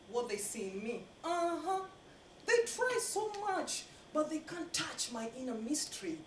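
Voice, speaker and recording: Young woman, stage poetry reader, high and very animated, roomy distant recording. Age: young adult. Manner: bold, expressive, with emphatic pauses. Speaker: student performer. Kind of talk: dramatic live poetry recitation. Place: indoor college event room. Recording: echoey noisy room, distant mic.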